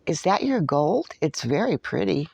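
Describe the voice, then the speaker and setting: A woman's voice: low-pitched, very animated, normal speed, creaky, a little mellow, breathy edge, no room sound, heavily acted. A woman voicing a friendly, talkative female dog from a storybook, cheerful, apologetic and eager to please. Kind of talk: storybook character voice.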